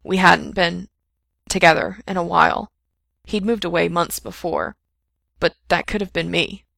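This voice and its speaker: A woman voicing an anxious, defensive young man who talks in loud, strained bursts, in a low, gravelly voice. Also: fairly even intonation, a little slow, dry recording, studio quality, exaggerated voice. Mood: flustered. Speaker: reader voicing a character.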